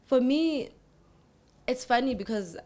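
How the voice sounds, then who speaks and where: A woman's voice: high voice, animated, takes it slowly, a little mellow. A young woman co-hosting a podcast, talking in a chatty, rambling way with many restarts. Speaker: podcast co-host. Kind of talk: casual chat.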